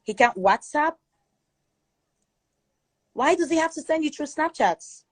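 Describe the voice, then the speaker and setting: Nigerian lady ranting her take in a chat room, kinda high voice, no room echo at all. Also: animated, unhurried. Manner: emphatic, repeats points for stress. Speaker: guest speaker in a live audio chat room. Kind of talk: opinionated casual commentary.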